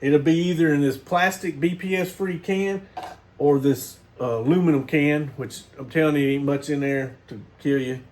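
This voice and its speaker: A man's voice: on the high side, moderate pace, a little mellow, slight background noise. A man, hosting a casual knife video and rambling to his viewers with lots of fillers and restarts. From Missouri, USA. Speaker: knife channel host.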